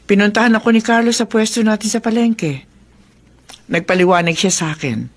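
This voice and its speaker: Animated, persuasive middle-aged mother acted by a woman. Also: mid-range voice, a little fast, natural voice. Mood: earnest, reassuring. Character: caring, persuasive middle-aged mother who keeps a market stall. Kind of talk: Filipino radio drama acting.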